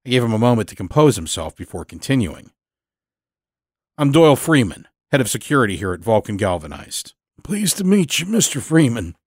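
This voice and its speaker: A man with a fairly low voice narrates a scripted dark tale in the first person. His delivery is measured and dramatic, recorded close and dry with very little room sound. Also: no room sound. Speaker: storyteller, narrator.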